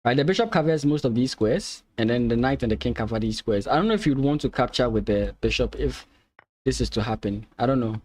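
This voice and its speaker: A man's voice: mid-range voice, expressive, a little fast, a little mellow. A man from Ghana, a native Ga speaker, commentating chess lines on a live stream, thinking aloud with hesitant restarts and easy laughter.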